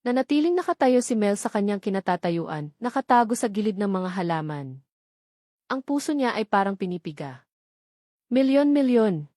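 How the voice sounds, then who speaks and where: A woman's voice: medium pitch, sing-song, fairly quick, a little mellow, dry recording, studio quality. A woman with a Filipino accent narrating a scripted romance story as an audiobook.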